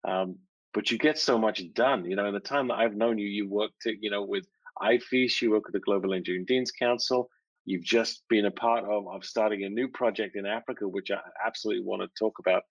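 A man with a lowish voice hosts an interview podcast and wanders a little, restarting his sentences as he frames his questions. The sound is dry and a bit dull, as if recorded over a call. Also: steady tone, a little fast, no echo. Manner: rambling, hesitant, friendly. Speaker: podcast host. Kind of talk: conversational interview.

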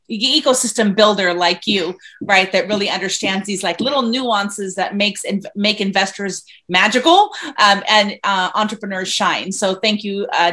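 A woman's voice: medium pitch, sharp tone. A woman from Venezuela, a guest investor on a video call, talking through her background in a chatty way with many fillers and restarts. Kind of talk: casual interview conversation.

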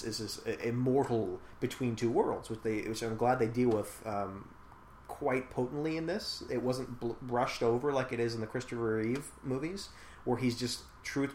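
A man's voice: mid-range voice, darkish tone. A man in a casual film discussion, talking thoughtfully at length with many fillers. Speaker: podcast host discussing a film. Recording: echoey room with faint noise.

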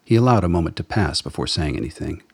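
A man reading scripted dramatic narration as the narrator of a radio play, with a husky voice. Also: low voice, animated, quick pace, no echo.

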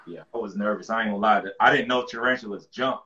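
Man from with a low, deep voice, relaxed slangy guest; muffled lo-fi sound in an echoey room. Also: quick pace, slight background noise. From rural North Carolina. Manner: laid-back, slangy, repeats words as he restarts. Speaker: guest rapper. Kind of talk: casual interview chat.

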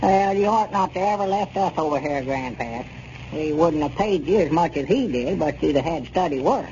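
An actor playing a plainspoken old storekeeper with a rural Southern US accent in a 1930s radio comedy. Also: male voice, on the high side, lively intonation, moderate pace, a little mellow. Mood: mildly reproachful. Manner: rustic, homespun phrasing. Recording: muffled, noisy 1930s radio recording.

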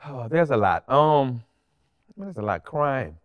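A man's voice: mid-range voice, sing-song, takes it slowly, highs cut off. A man with a New Orleans accent chatting casually as a podcast guest, blunt and slangy, often repeating himself for emphasis. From New Orleans, USA. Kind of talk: casual, animated interview chat.

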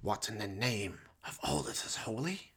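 A man's voice: on the low side, very animated, moderate pace, a bit bright, slightly rough, exaggerated voice. A man voicing a cunning mad scientist villain in a comic horror radio play, muttering in a darkly theatrical way. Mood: menacing muttering.

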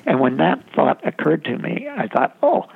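A man, a novelist, talking reflectively about his writing in an interview, halting often with fillers and restarts. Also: fairly high pitch, very animated, vocal fry, husky. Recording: tinny phone-line sound.

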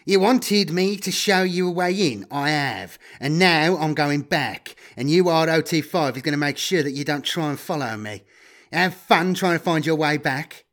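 Man acting a smug, double-crossing guide, pitched up. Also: animated, moderate pace, a bit bright. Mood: smug, threatening. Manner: gloating, emphatic. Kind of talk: audiobook character voice.

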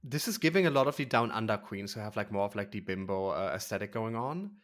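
A man's voice: lowish voice, expressive, quick pace. A man co-hosting a recap podcast, talking casually with emphatic, gushing commentary. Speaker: podcast co-host. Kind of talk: casual recap chat about a drag competition show.

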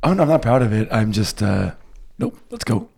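A man's voice: lowish voice, very animated, brisk, gravelly, darkish tone, slightly rough. An American man chatting casually, in an informal, joking way. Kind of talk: casual chat.

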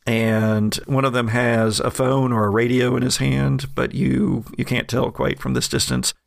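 A man's voice: medium pitch, flat delivery, unhurried, vocal fry, dry recording, studio quality. A man narrating as game master of a tabletop game, describing scenes with frequent fillers.

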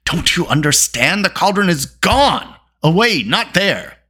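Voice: mid-range voice, sing-song, normal speed, fairly bright tone, husky, full character voice. A storyteller voicing an irascible little male dwarf, grumbling and snapping in exasperation. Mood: exasperated.

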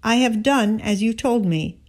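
Slow-paced woman reading scripture. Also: on the high side, a little mellow. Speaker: scripture reader. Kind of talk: scripted Bible reading, narration with dialogue.

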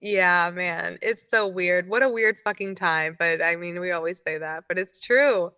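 A woman's voice: lowish voice, moderate pace, pushed and bright, clear, resonant tone. A woman chatting casually as a podcast co-host over an online call, informal and full of exclamations. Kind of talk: casual chat. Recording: dull, muffled online call audio.

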